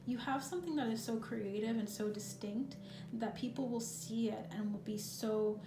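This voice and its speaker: A woman giving a pick-a-card tarot reading, talking in a gentle, reassuring, musing way. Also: on the high side, moderate pace. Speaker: tarot reader. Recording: echoey room with noise.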